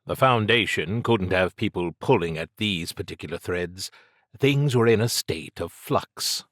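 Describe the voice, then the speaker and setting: A male audiobook narrator with a fairly low voice. He reads fiction in a measured, dramatic storytelling manner, and the recording is dry and free of room sound. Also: a bit bright, dry recording.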